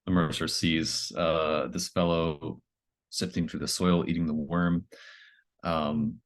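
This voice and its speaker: A man playing a tabletop game, narrating his character's actions in a measured, thoughtful way. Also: rumbling low, level tone. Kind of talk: improvised third-person roleplay narration.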